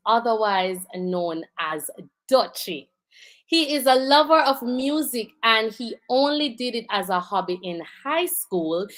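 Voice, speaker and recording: A woman hosting a live talk show, speaking slowly and deliberately. Her voice sits a little high. She introduces guests with casual patois asides. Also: a bit bright.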